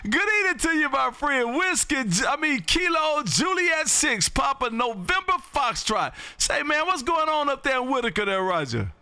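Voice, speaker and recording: A man from Texas running a ham radio live stream from his home radio room, talking loudly and excitedly in a folksy way. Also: extremely high pitch, moderate pace, hardly any pauses, no echo. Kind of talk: ham radio contact chat.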